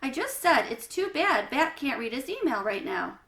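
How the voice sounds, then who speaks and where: A woman voicing a casual, candid, curious schoolboy in a storybook, informal and quick to protest. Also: high-pitched, big pitch swings, creaky, fairly bright tone, pitched above the performer's own voice, full character voice. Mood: defensive. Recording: roomy, echoey room sound.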